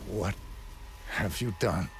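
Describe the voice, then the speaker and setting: An actor playing a stoic male assassin in a video game, speaking in terse, halting, low-key phrases. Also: heavy background noise. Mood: strained, grim. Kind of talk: dramatic video game cutscene acting. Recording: voice over loud dramatic music.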